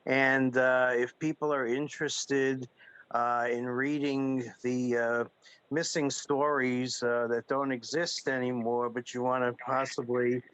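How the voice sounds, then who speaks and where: A man's voice: medium pitch, flat delivery, slow, a bit bright, smooth, no room sound. A man sharing informal remarks in a club meeting over a video call, with hesitant phrasing. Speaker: club member. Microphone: video call microphone. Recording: muffled video call audio.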